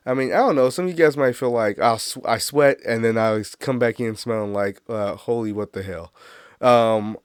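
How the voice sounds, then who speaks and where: A man's voice: medium pitch, moderate pace, smooth, dry recording, studio quality. A man with a stuffy, congested nose rambling through a casual solo monologue, laughing at his own jokes. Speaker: podcast host.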